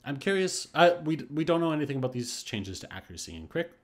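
A man's voice: on the high side, very animated, fast talker. A man hosting a gaming video, giving casual update commentary and thinking aloud as he speculates.

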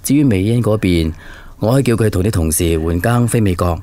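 A man's voice: lowish voice, a little fast, warm tone, lightly acted. An actor playing a considerate, persuasive adult son and father in a radio drama. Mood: persuasive, upbeat. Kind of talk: Cantonese radio drama acting.

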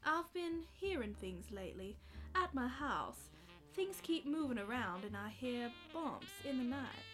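A woman's voice: fairly high pitch, expressive, unhurried, fairly bright tone, faint music behind. An actress playing a mysterious, anxious woman seeking a detective's help in a noir radio play, earnest and dramatic. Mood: anxious, pleading. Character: mysterious, anxious, pleading female client of a detective.

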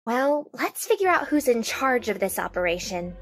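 A woman voicing a brisk, businesslike fifteen-year-old girl boss in a fan cartoon, articulate and officious. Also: fairly high pitch, sing-song, fairly bright tone, faint music behind. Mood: dry.

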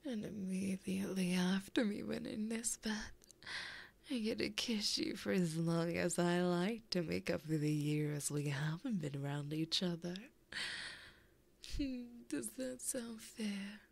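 A woman voicing a flirty, possessive, taunting female demon in an ASMR roleplay, breathy and often whispering in a teasing, intimate way, close to a binaural mic. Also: medium pitch, a little slow, darkish tone. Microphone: close binaural microphone.

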